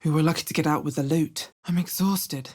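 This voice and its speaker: A woman playing a wary, wry female adventurer in a fantasy video game, with a bassy, husky voice and a measured, understated delivery. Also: steady tone, a little mellow. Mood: wary, musing. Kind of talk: video game character voice lines.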